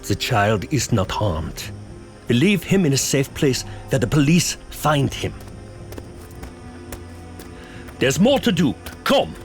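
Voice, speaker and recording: Old professor vampire hunter, slow and dramatic, swoops up and down, grave gothic radio play. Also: male voice, medium pitch, big pitch swings. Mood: solemn. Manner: deliberate, probing, rhetorical. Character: learned older male professor, grave, insistent vampire hunter. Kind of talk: theatrical gothic audio drama acting. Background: music.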